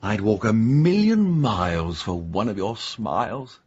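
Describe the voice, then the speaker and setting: An actor playing a wry, weary gentleman writer, chiding yet affectionate, in a radio drama. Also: on the low side, animated, measured pace, breathy edge, muffled recording. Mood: exasperated, fond.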